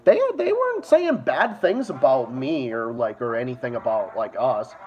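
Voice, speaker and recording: A man with a Boston accent hosting a casual livestream, rambling and swearing as he chats, often trailing off quietly. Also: mid-range voice, very animated, normal speed, muffled recording, a little hiss or hum. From Massachusetts. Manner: rambling, profane, trails off softly. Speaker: stream host.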